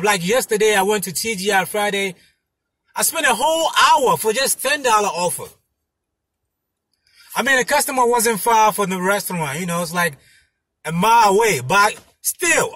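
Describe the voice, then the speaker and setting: Male driver-vlogger, high forceful voice with a husky edge, casual, emphatic and repetitive. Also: no room sound. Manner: emphatic, repetitive, punctuated with "you know". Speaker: delivery driver vlogger giving tips. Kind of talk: casual chat to camera. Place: inside a parked car. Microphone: phone mounted in front of him.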